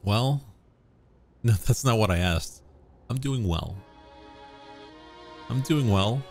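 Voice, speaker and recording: A young man streaming a game, chatting casually and joking with viewers, his throat a little hoarse. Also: mid-range voice, animated, slow, a little mellow. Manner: joking, self-mocking, musing aloud. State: slightly hoarse. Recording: faint game music behind.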